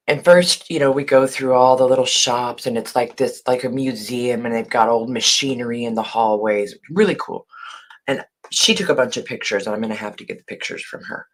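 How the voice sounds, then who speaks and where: A hoarse woman who has partly lost her voice, chatting casually on a live stream and greeting viewers by name. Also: very deep voice. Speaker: online shop owner hosting a live video.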